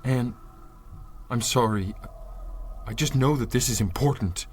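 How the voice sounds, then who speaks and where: A man's voice: medium pitch, unhurried, a little hiss or hum, natural voice. An actor playing an earnest, protective amnesiac man with formal, hesitant phrasing in a 1930s-style adventure radio drama. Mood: earnest resolve.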